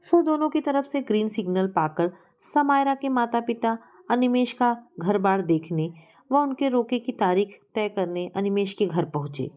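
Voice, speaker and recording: A woman's voice: mid-range voice, lively intonation, unhurried, darkish tone. A woman narrating a scripted story as a storyteller.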